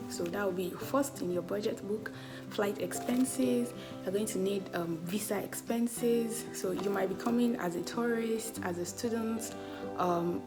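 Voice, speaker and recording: A young Nigerian woman vlogs to her camera in a loud, chatty voice, her sentences full of "like" and little laughs. There is no separate microphone, just the camera picking her up. Music plays clearly underneath her. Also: medium pitch. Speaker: travel vlogger. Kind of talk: casual talk to camera. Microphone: camera mic, no external mic. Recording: background music.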